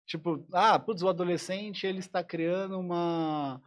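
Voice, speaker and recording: Guy, kinda high voice, musician guest, thinks out loud, lots of restarts. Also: fairly even intonation, normal speed. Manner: reflective, thinks aloud with many restarts. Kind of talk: casual interview conversation.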